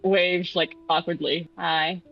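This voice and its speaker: A woman playing a tabletop game in casual improvised roleplay, awkward and halting. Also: on the low side, flat delivery, gravelly, highs cut off, soft background music.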